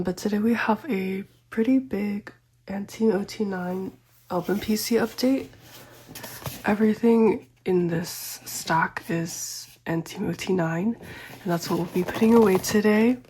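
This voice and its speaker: A young woman who is slightly sick and congested, talking gently and often half-whispering through a collecting vlog, with frequent ums and small laughs. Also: mid-range voice, breathy edge. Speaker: photocard collecting vlogger.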